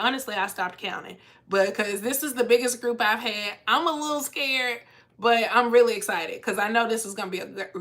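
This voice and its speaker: A woman chatting casually to her viewers, trailing off softer at the ends of sentences. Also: medium pitch. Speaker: vlogger and group cruise organizer. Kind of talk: casual chat to camera.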